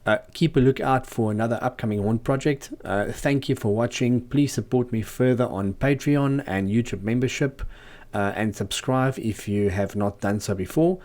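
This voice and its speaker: A man's voice: medium pitch, normal speed, a little mellow. A man hosting a do-it-yourself video, narrating in a scripted tutorial style. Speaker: host, DIY speaker builder.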